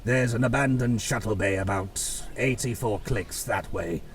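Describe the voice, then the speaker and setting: A man voicing a wry, analytical robot scout in an animated series, gravelly and dry, heard from a distance over music. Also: lowish voice, unhurried, natural voice. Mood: wry, pondering. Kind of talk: animated-series character acting. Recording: distant mic, music underneath.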